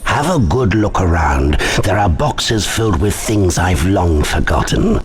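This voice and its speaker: Low-voiced, kindly shopkeeper. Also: male voice, big pitch swings, unhurried. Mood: kindly, advising. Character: kindly, advising male shopkeeper with forgotten clutter. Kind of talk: video game voice acting. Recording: noisy background with clicks.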